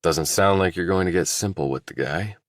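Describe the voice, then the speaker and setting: Very deep male audiobook narrator. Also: fairly quick, no echo, clean studio sound. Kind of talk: scripted thriller narration with character dialogue.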